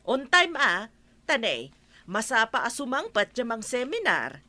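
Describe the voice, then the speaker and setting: A woman's voice: fairly high pitch, huge pitch swings, a little slow, pushed and bright, no echo, full character voice. A woman acting as a strict, bossy village aunt and coordinator in a comedy radio drama, with an Ilocano accent. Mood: strict, reminding. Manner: bossy, instructing.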